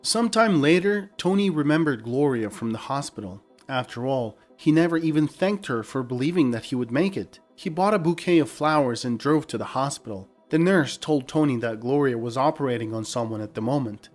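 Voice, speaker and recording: Guy narrating a dramatic story off a script, medium pitch, soft music under him. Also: animated, a little fast, few pauses.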